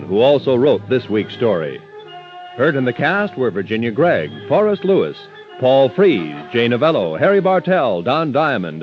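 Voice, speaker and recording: An American man working as a radio announcer, reading scripted material in a 1950s broadcast style. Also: mid-range voice. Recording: muffled vintage radio recording with music.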